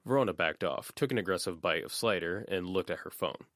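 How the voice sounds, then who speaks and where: A male audiobook narrator reading prose in a steady, even way. His voice sits very low, and the recording is dry and close, with no room sound. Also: fairly quick, no room sound. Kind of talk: scripted narration.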